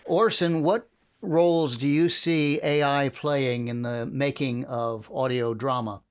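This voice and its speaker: A man with a mid-pitched voice moderates a panel. He speaks slowly and with some hesitation, measuring out his words. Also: fairly even intonation, smooth.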